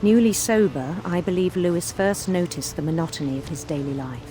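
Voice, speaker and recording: A woman playing a formal psychiatrist who narrates a condolence letter in a measured, deliberate way for a game. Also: on the low side, natural voice. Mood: measured, sympathetic. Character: measured psychiatrist writing to a grieving mother. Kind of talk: scripted game narration, letter read aloud. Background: music.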